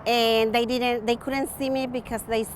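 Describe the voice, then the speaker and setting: Woman patient in news interview, highish voice, some vehicle noise behind. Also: level tone, moderate pace. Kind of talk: unscripted news soundbite. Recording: noisy recording with vehicle sounds.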